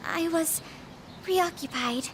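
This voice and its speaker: An actress voicing a soft-spoken, very polite young woman who is a student and company heir in a game dub. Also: extremely high pitch, slow, background noise. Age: young adult. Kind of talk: video game voice acting. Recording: game cutscene with music behind.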